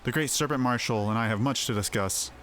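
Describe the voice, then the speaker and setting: A man's voice: medium pitch, a bit bright, natural voice. Man reading as a solemn, gracious forest seeress. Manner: formal, archaic, measured phrasing. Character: solemn, gracious female elder seer of a forest realm. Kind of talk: amateur voicing of fantasy game dialogue. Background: faint music.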